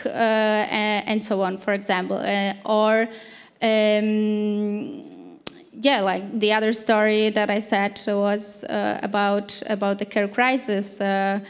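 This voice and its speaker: Female panelist, 30s, smooth clear tone, unscripted and searching for words, big echoing hall. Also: medium pitch. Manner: halting, searching for words with repeated starts. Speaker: panel speaker, activist anthropologist. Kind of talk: unscripted answer at a panel discussion. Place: large dark auditorium stage. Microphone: handheld microphone held close. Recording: reverberant hall sound.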